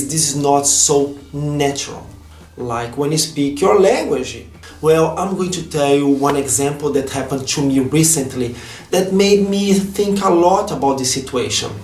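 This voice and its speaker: A man's voice: on the high side, darkish tone, echoey room. Brazilian-accented man, earnest vlog talk. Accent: Brazilian Portuguese. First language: Portuguese. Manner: earnest and heartfelt, slightly non-native phrasing. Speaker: vlogger. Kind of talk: personal vlog monologue.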